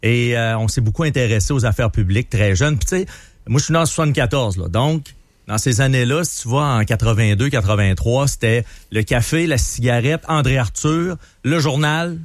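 Man, 50s, Québécois accent, relaxed storytelling, dry close studio sound. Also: medium pitch, fairly quick, no room sound. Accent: Québécois French. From Lac-Beauport, Quebec. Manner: relaxed, talks through his memories. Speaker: new radio host, guest. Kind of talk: casual storytelling. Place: radio studio.

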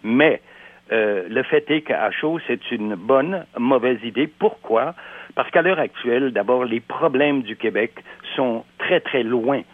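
A man's voice: mid-range voice, slow pace, dry recording. An emphatic man, offering his opinions as a radio guest. He comes through a dry, tinny phone line and often stumbles and repeats words mid-thought. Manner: emphatic, repeats words while searching, many hesitations.

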